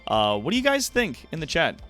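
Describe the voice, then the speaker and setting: A man livestreaming in casual chat with viewers, thinking aloud in loose, halting phrases. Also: on the high side, wildly swooping pitch, pushed and bright, faint music behind.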